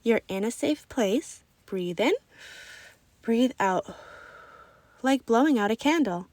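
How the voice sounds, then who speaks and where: A woman voicing a kind, calming schoolteacher with a gentle, reassuring manner. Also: a little high, slow, dry recording. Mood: soothing.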